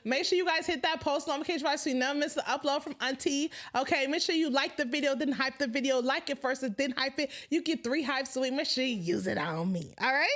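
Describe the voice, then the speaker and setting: High-voiced animated woman host with a stutter. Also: fast talker, hardly any pauses. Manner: animated, laughs often, stutters. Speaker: live-stream host. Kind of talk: casual live-stream chat.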